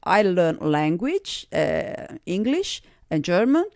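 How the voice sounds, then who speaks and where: A woman's voice: fairly low pitch, big pitch swings, slow, gravelly, darkish tone, dry recording, clean studio sound. A middle-aged woman from Sardinia with an Italian accent, telling her life story as an interview guest. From Sardinia, Italy. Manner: storytelling, acts out quoted people. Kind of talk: casual interview chat.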